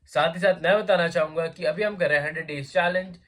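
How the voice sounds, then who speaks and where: Host, male, slightly raised pitch, sore throat, chatty explainer, loose Hindi-English mix. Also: quick pace, fairly bright tone. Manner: chatty, repetitive fillers, conversational address to viewers. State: sore throat, coughing now and then. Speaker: job vacancy channel host.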